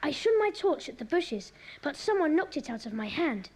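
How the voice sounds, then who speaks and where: A woman playing an eager, adventurous schoolboy in a children's audio drama, earnest and excitable, on a noisy cassette recording. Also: high-pitched, moderate pace. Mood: breathless, excited.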